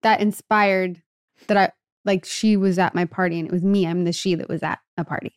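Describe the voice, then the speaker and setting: A woman podcast co-host in casual conversation, speaking in an animated, emphatic way and repeating herself. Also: fairly low pitch, fairly quick, clean tone, dry recording, clean studio sound. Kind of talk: casual chat.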